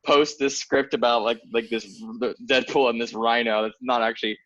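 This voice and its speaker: A man's voice: medium pitch, steady tone, moderate pace, fairly bright tone, dry recording. A man chatting casually on a video call in a rambling, easygoing way. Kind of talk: casual chat. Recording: slightly dull video call audio.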